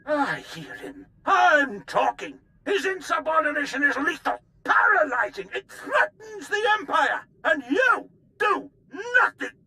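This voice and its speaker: An actor playing an unhinged, incoherent old military officer in a video game, ranting with paranoia and erratic bursts of shouting. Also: male voice, extremely high pitch, sing-song, a little slow, full character voice, rough recording. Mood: accusing, outraged. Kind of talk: theatrical video game voice acting.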